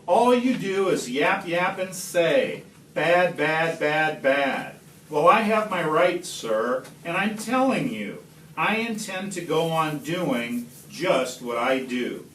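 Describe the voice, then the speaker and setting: A man's voice: on the high side, lively intonation, slow pace, a bit bright, echoey room. Male reader as greedy, self-justifying storybook creature boss; blustering, emphatic; distant phone capture with background noise. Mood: indignant bluster. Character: defiant, greedy Once-ler creature yelling, self-justifying businessman.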